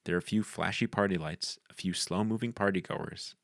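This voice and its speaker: Deep, gravelly man narrating screenplay. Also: a little fast, no room sound, clean studio sound. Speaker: narrator reading stage directions. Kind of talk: scripted narration at a film table read.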